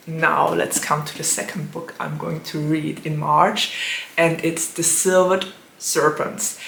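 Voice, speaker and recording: A woman with a slightly raspy, hoarse voice, chatting casually about books in her vlog and giggling often between phrases. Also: on the low side, a bit bright. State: hoarse from allergies. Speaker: book vlogger. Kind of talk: casual book vlog chat. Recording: echoey room.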